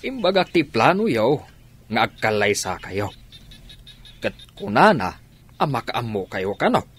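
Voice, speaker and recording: A man's voice: mid-range voice, sing-song, unhurried, husky. A man with an Ilocano accent playing a sensible middle-aged uncle and go-between in a radio drama. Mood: advising.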